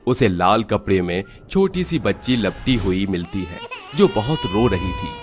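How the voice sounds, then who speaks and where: A man's voice: lowish voice, big pitch swings, measured pace, music in the background. A man narrating a scripted Hindi cartoon story as its storyteller.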